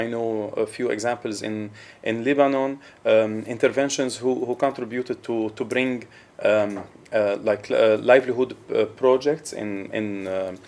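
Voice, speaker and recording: A man speaking at a panel discussion, halting and hesitant with many restarts. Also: on the low side, darkish tone. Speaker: panel audience member.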